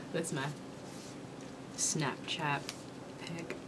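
A young woman's raspy, slightly unwell voice, pitched a little low and unhurried. She chats loosely at a desk camera, rambling with plenty of ums, and a faint hiss sits behind her in a small room. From Kentucky. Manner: relaxed, rambling, many ums. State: unwell, sore throat. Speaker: vlogger. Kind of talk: casual mukbang chat. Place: small indoor room with dark curtain backdrop.

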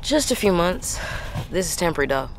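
A wry, guarded female truck driver in an audio drama. Her delivery is blunt and natural, with animated pitch movement. Road noise runs clearly behind her. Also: a little fast. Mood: guarded, casual. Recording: vehicle noise in truck cab.

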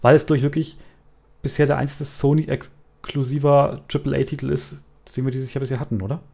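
A man's voice: medium pitch, little pitch movement, a little fast, vocal fry, a little mellow. A man hosting a casual gaming podcast, quizzing his co-hosts and often searching for words. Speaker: podcast host.

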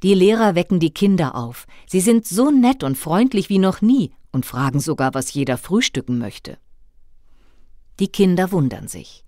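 A woman narrating a scripted children's audio play in a dramatic, expressive storybook manner. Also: lowish voice, normal speed, no room sound, clean studio sound.

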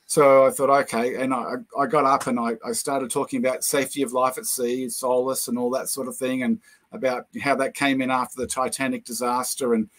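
An older man with a New Zealand accent, a guest lecturer telling anecdotes over a video call, with many pauses and fillers. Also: mid-range voice, flat delivery, fairly bright tone. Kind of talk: casual chat, storytelling.

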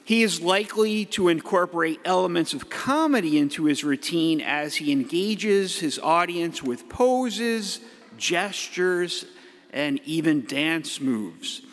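Middle aged priest preaching slow at the pulpit, kinda high voice, long church echo. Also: male voice, animated. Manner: playful, with hype-man shouts. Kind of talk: homily from the pulpit. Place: large church. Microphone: pulpit mic. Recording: echoey church hall.

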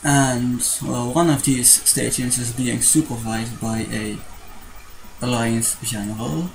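A young Dutch-accented man talks slowly through a casual bedroom vlog, picked up by a desk webcam. His voice has a gravelly, fry-laden edge, and he keeps restarting sentences between fillers. A faint hiss sits under the recording. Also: mid-range voice, fairly even intonation, poor audio. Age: young adult. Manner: rambling, restarts often, hesitant fillers. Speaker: vlogger. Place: small bedroom with posters.

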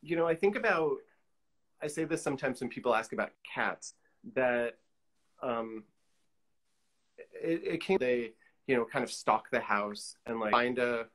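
A man's voice: medium pitch, animated, a little slow, pauses often, a bit bright. A middle-aged man being interviewed casually on a video call, thinking aloud in loose, hesitant fragments. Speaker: guest, collage artist. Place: indoor room, on a video call.

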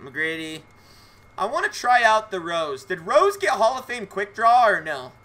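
A man's voice: high voice, unhurried. A young man with a lisp, chatting casually and jokingly as he live streams a video game, swearing mildly. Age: young adult. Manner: animated, joking, mildly profane. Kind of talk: casual livestream chat with viewers. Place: indoor room at home.

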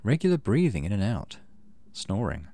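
A man's voice: lowish voice, wildly swooping pitch, normal speed, mellow, no echo. A man giving casual let's play commentary, thinking aloud and reading game text as he goes. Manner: thinks aloud, muttering through choices. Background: game music.

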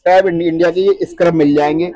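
Fast-talking man pitching wholesale prices. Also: fairly even intonation, mellow. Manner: rapid, pushy salesman patter, repeats words. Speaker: wholesale cosmetics shop owner. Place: cosmetics shop among stocked shelves, indoors. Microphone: handheld phone camera up close.

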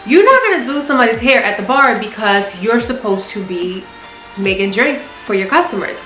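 Bright, emphatic young American woman. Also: fairly high pitch, lively intonation, moderate pace, roomy sound, soft background music, rough recording. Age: young adult. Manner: emphatic, conversational, punctuated with "right?" check-ins. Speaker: vlogger and flight attendant. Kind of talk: casual chat to camera. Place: small living room, indoors on a sofa.

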